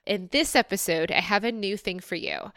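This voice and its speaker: A woman's voice: mid-range voice, normal speed, fairly bright tone, no room sound, clean studio sound. A woman hosting her own podcast, talking in a chatty, upbeat way and laughing often. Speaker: podcast host. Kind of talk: casual solo podcast monologue.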